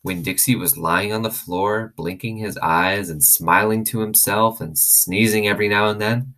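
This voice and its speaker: A man reading a children's novel aloud as an expressive storyteller. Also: on the low side, moderate pace.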